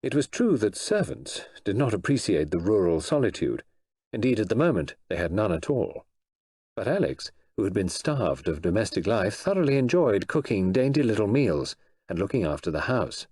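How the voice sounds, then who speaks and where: A man reading fiction aloud as an expressive audiobook narrator. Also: lowish voice, normal speed, slightly rough, no room sound, studio quality. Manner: expressive storytelling, voices the characters.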